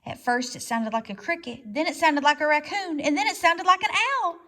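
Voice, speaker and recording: A high, hooting male owl, as a woman puts him on, bossy and quick to raise alarm. He calls out loudly over the gathered animals in a storybook voice. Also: expressive. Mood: excited.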